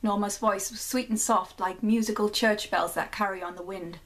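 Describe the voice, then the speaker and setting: A young Geordie woman talking straight to her viewers in a loose, chatty way, full of ums and likes. She laughs at her own slips and then settles into reading a short story aloud at a middle pitch. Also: steady tone, moderate pace, a bit bright. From North East England. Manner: chatty, laughs at herself, says um and like. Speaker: vlogger.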